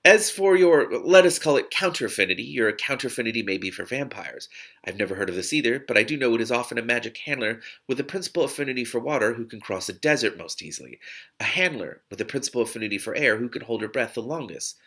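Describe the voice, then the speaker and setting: A man voicing a wise female magic mentor in storybook dialogue, speaking formally and deliberately as he explains. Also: medium pitch, lively intonation, a bit bright. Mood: thoughtful, assured. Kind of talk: audiobook-style character dialogue.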